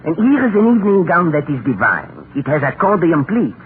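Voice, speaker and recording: A man's voice: a little high, very animated, a little fast. An actor playing a suave, fawning French department store salesman, effusive and obsequious, in a 1940s radio comedy. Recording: tinny, noisy 1940s radio recording.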